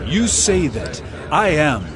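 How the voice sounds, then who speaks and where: A man playing a solemn, authoritative holy teacher in a scripture audio drama, speaking deliberately and emphatically. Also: on the high side, big pitch swings, noisy background.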